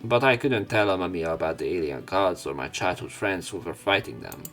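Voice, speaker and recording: A man's voice: sits low, a little fast, a bit bright, faint music behind. A man doing let's play commentary, reading visual novel narration aloud with opinionated asides. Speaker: let's player.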